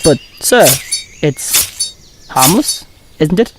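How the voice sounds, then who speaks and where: Man playing a higher-pitched earnest android astronaut. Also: big pitch swings, extremely slow, background noise, heavily acted. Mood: dutiful. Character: part-android male astronaut, regretful, dutiful. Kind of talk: fan audio drama acting.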